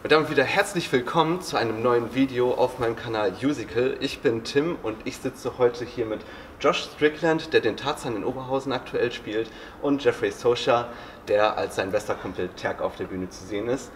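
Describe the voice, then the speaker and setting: A man's voice: mid-range voice, fairly quick, few pauses, a little hiss or hum. A man with a German accent hosting an interview, asking questions in a friendly, hesitant way with many fillers. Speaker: interviewer, channel host. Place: backstage room at a theatre.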